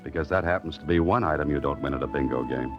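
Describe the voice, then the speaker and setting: A very low-pitched, wry man, an adventurous writer with a soft heart. He speaks in understated 1940s radio style, half to himself. The old recording is muffled, with music clearly behind. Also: fast talker. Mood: wry, musing. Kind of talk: 1940s radio drama acting with narrated inner thoughts.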